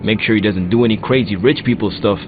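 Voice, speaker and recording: An actor playing a casual, guarded, streetwise young mechanic hero in a video game, with a slangy, offhand manner. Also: male voice, fairly low pitch, fast talker, narrow, tinny audio, over music, lightly acted. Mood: offhand, skeptical. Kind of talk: video game dialogue acting.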